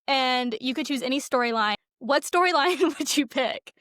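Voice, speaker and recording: Higher-voiced woman interviewer, dry studio-like sound. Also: moderate pace, a bit bright, dry recording. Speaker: podcast co-host interviewing a guest. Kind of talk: casual conversational interviewing.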